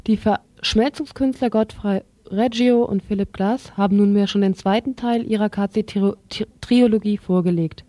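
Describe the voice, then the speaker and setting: A woman reads event listings on radio in a halting way, stumbling over words. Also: mid-range voice, warm tone, no room sound. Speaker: radio presenter. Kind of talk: reading event listings aloud.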